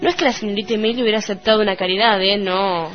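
Woman playing a knowing gossipy townswoman, argentine accent, talks quick, radio play, muffled recording. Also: mid-range voice, steady tone, lightly acted. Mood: gossipy, confiding. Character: gossiping townswoman, knowing and scornful, recalling local scandal. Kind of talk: radio play literary narration. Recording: dull radio recording with music behind. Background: music.

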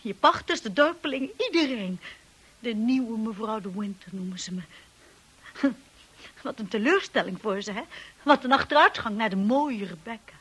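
A timid young bride in a radio play. Her voice is fairly high and very breathy, hesitant and earnest, with an insecure, apologetic way of speaking. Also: lively intonation, moderate pace, a bit bright, low-quality recording. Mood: hurt, self-pitying. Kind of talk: radio drama acting.

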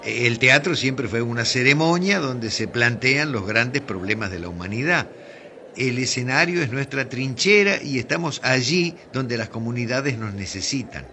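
An earnest Argentine theatre director in a radio play. His bright voice rises and falls freely, solemn one moment and stammering the next. Faint noise sits behind him. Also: mid-range voice, lively intonation, moderate pace. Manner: stammering, solemn declamatory speech. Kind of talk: radio drama acting.

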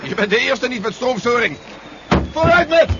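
An actor playing an urgent, commanding older army general in a 1970s science fiction radio play. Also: male voice, extremely high pitch, expressive, moderate pace. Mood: alarmed, shouting. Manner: commanding, urgent, formal with superiors. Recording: muffled, noisy 1970s radio play recording.

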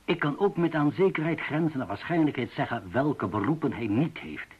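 Very husky, precise professor. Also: male voice, fairly high pitch, animated, moderate pace. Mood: assured. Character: precise, self-assured male linguistics professor, academic. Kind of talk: radio play acting. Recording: dull lo-fi radio drama recording.

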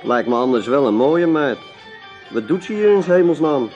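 With music behind him, a male actor plays a slangy, boisterous barfly in a radio play. His voice sits fairly high as he drawls and blusters in an odd, gruff manner. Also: expressive, moderate pace, darkish tone, natural voice. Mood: blustering. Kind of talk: radio drama acting.

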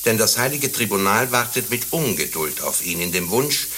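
A man's voice: fairly low pitch, very animated, moderate pace, bright, forceful tone, a little hiss or hum. A male actor playing a stern, pompous Inquisition inspector in a satirical radio play, with formal, indignant and ornate speech. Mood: stern, grave.

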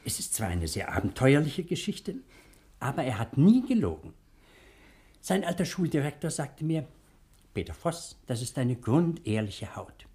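A man's voice: mid-range voice, sing-song, normal speed, a little mellow, natural voice. An actor playing a kindly, fatherly older uncle in a German radio drama, soothing and courteous. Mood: gentle, reassuring. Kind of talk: 1960s German radio play acting.

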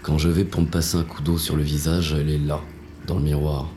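An actor playing a bitter, world-weary police inspector in a noir audio drama, gruff and slangy as he narrates his own story. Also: level tone, brisk, warm tone. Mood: grim, sardonic. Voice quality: very deep, gravelly. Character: jaded, hard-boiled male police inspector, bitter and shaken.

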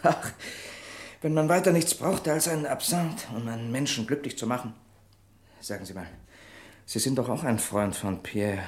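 An actor playing a good-natured but vengeful friend in a German radio crime drama, plain-spoken, avuncular and emphatic. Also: male voice, mid-range voice, moderate pace, natural voice. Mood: concerned, fond.

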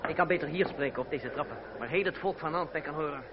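A suave gentleman adventurer of a radio play voiced by a man, ironic and sure of himself. The voice sits a bit high, and the recording is muffled with clear background noise. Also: fast talker, lightly acted. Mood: discreet, firm. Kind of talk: radio drama acting.

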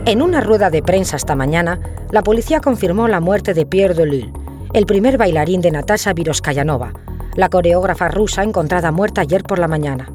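An actress playing a formal, measured radio news presenter, reading news and interviewing. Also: female voice, fairly low pitch, animated, music in the background, close to an everyday voice.

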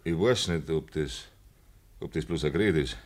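An actor playing a timid farmhand with a Bavarian accent in a radio drama, speaking haltingly and evasively. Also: male voice, rumbling low. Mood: hesitant, worried. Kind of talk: German radio drama acting in dialect.

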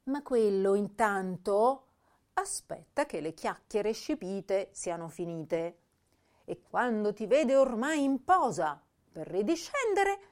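An actress playing an intense, sensitive young woman poet, declaiming verse in a measured, lyrical way for a radio drama. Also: medium pitch, lively intonation, a little mellow. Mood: playful irony. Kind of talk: dramatic poetry recital in a stage-style radio drama.

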